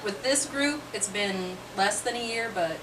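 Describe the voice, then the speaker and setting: A woman answers questions eagerly and chattily. Her voice sits at a middle pitch. She is picked up from across the room, with clear background noise over her voice. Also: a little slow. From southern West Virginia. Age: 30s. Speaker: team historian. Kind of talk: casual interview answers. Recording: distant mic with clear noise.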